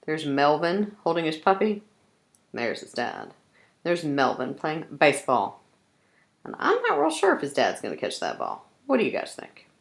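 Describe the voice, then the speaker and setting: Female picture-book reader: lowish, lively intonation, questioning young listeners. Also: normal speed, gravelly. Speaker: storyteller, teacher. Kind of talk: children's picture book read-aloud.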